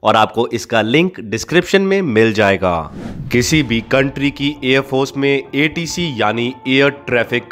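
A man's voice: medium pitch, fairly bright tone. A man with an Indian accent reads a scripted explainer as a video narrator, slipping between Hindi and English phrases. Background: background music.

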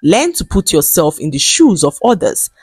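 Low-voiced Nigerian woman, animated folktale storyteller. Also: normal speed, husky, no echo, clean studio sound. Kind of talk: scripted folktale narration.

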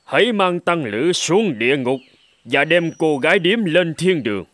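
A man's voice: fairly high pitch, animated, unhurried, background noise. A man voicing a stern, commanding saint who judges souls in a fairy-tale cartoon, with an authoritative, reproving manner. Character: stern, commanding male saint judging souls at heaven's gate.

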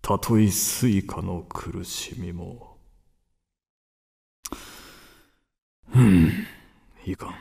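An actor playing an intense old-time kabuki star confessing forbidden love in a period radio drama, with archaic, theatrical phrasing. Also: male voice, low-pitched, gravelly, a little mellow, slightly rough, exaggerated voice. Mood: brooding. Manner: archaic, theatrical, drawn-out delivery.

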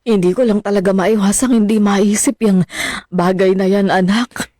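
A woman's voice: mid-range voice, fairly even intonation, moderate pace, dark tone, no echo, studio quality, close to an everyday voice. A woman acting a troubled, anxious middle-aged mother in a radio drama.

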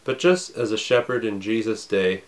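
A man in his thirties, a pastor, preaching a recorded sermon from his home study with a scratchy voice and a slight cough, earnest and measured. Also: fairly low pitch, lively intonation. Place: home study with bookshelves, indoors.

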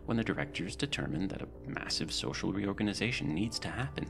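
ASMR roleplay: male actor as dystopian mind-care technician, gravelly, politely patronising and bureaucratic, music underneath. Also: deep voice, animated, quick pace. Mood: falsely soothing. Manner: politely condescending, bureaucratic, with murmured asides. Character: smoothly sinister, falsely reassuring dystopian mental-care technician. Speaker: roleplay performer. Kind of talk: ASMR roleplay, soft clinical patter.

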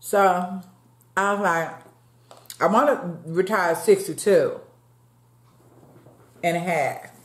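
A woman's voice: on the low side, slow pace, pauses often, reverberant. A woman in her late fifties chatting casually with viewers while eating, talking to them as y'all. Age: late 50s. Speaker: mukbang vlogger. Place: dim room at home, seated at a table. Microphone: fixed camera across the table.

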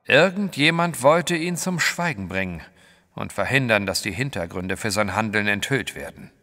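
An actor playing a composed, resolute gentleman adventurer in an audio drama, speaking with emphatic solemnity. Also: fairly low pitch, lively intonation, normal speed, long reverb tail. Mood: composed, reasoning. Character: resolute, level-headed gentleman adventurer hero.

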